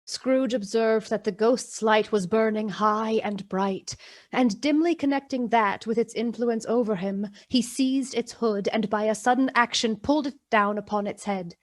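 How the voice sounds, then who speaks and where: A woman's voice: fairly high pitch, normal speed. A woman narrating a literary radio play in scripted storybook style.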